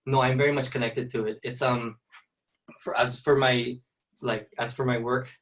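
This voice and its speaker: A man's voice: medium pitch, little pitch movement, normal speed, far from the mic. A young man from the Philippines, a ballet dancer, talking casually in an interview with lots of fillers. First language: Tagalog. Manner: soft-spoken, many fillers and "you know".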